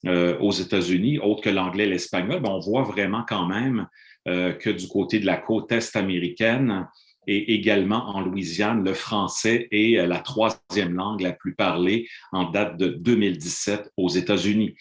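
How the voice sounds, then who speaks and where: A middle-aged man gives a measured panel talk as a university lecturer over a video call, pausing often on "euh". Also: deep voice. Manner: measured, explanatory, frequent "euh" hesitations. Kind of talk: online panel presentation. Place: room in Montreal, remote presentation. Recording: roomy video call audio.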